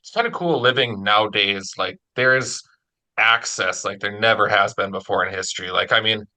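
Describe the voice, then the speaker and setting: A man's voice: lowish voice, moderate pace, sharp tone, poor audio. A man interviewing casually as host, in an informal, slightly hesitant way. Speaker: interviewer, project author.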